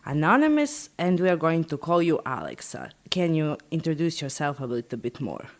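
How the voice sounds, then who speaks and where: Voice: medium pitch, very animated, normal speed. An interviewer reading questions with some fillers.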